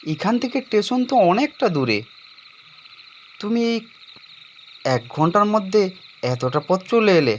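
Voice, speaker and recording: A man playing an anxious, higher-pitched young horror storyteller. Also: sing-song, unhurried, pauses often, a little hiss or hum. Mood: puzzled. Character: young man haunted by his guilty past, anxious storyteller. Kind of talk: dramatized first-person horror story narration.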